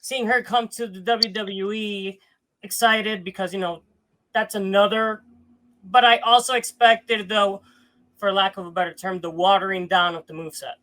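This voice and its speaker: Nasal man, bright voice. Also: high voice, fairly even intonation, normal speed, low-quality recording. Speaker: panel guest. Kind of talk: casual panel chat.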